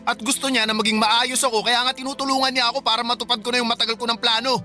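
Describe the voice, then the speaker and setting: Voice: mid-range voice, little pitch movement, pushed and bright, faint music behind, close to an everyday voice. An actor playing a defiant young brother. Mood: heated. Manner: defiant, sulky, retorts quickly. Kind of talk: radio drama acting.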